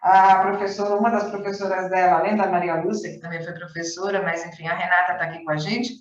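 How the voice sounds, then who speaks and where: A woman's voice: lowish voice, level tone, fast talker. A woman giving a chatty conference talk over a video call. She restarts often and repeats words for emphasis. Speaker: conference speaker, volunteer teaching coordinator. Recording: roomy, distant, dull lo-fi call audio.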